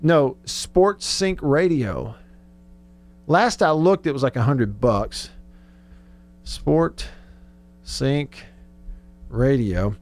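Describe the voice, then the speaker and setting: A man's voice: medium pitch, animated, extremely slow, pauses often. A man hosting a casual sports radio show, conversational and thinking aloud as he goes.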